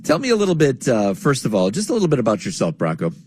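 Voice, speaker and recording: A man hosting a radio interview, with a smooth announcer delivery as he reads the introduction and puts questions to a guest. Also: mid-range voice, huge pitch swings, quick pace, a little mellow. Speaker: radio host.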